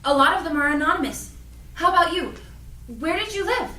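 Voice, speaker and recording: A woman's voice: very high-pitched, brisk, pushed and bright, distant mic. A woman playing a frightened but defiant young rebel on the run, in a dramatic script reading. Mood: shaken. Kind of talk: dramatic script table read. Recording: echoey room, off mic, music added.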